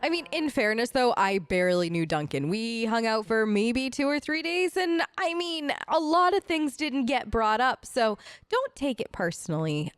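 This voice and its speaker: Woman gamer, lively swooping pitch, close dry sound, casual role-play, breezy and wry, faint music. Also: moderate pace, few pauses, smooth, no echo. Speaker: gamer voicing her player character. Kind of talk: improvised role-play let's play.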